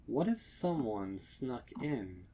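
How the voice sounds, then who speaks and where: A man narrating a horror story from a script, dramatically, with deliberate pauses and ominous emphasis. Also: medium pitch, big pitch swings, dark tone, a little hiss or hum. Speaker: fan fiction narrator.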